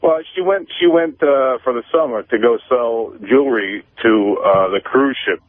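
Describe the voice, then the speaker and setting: A man calling in to a radio show over the phone, drinking and rambling casually in a halting, scattered way. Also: medium pitch. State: drinking vodka. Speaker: phone-in caller. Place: phone call from a parking lot. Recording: thin, tinny phone line.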